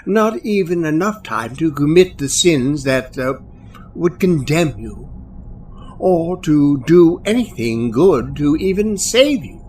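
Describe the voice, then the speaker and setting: A man playing a calm, matter-of-fact otherworldly guide to the newly dead in an audio drama, deliberate and detached. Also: on the high side. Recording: faint background noise.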